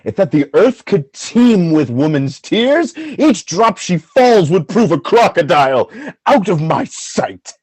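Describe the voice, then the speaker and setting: Husky, tormented jealous general acted by a man. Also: high voice, big pitch swings, slow, darkish tone, no echo, exaggerated voice. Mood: bitter fury. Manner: dramatic, swinging between brooding and outcry. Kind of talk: Shakespearean radio drama acting.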